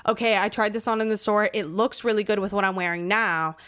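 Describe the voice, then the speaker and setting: A young woman hosts a podcast in loose, slangy conversation. Her voice is clear and smooth, sitting at a middle pitch. She rambles through her thoughts, dropping "like" throughout. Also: fairly even intonation, a little fast, a bit bright. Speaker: podcast host. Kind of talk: casual podcast chat.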